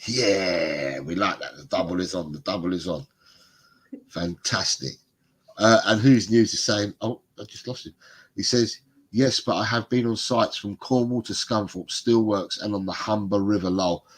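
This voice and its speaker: Stuffed-up guy, fairly low voice, rambling chat on a live stream. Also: normal speed, slightly rough. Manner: chatty, rambling, laughs often. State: congested, bunged up. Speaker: co-host of a football fan livestream. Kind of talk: casual chat reading out viewer comments.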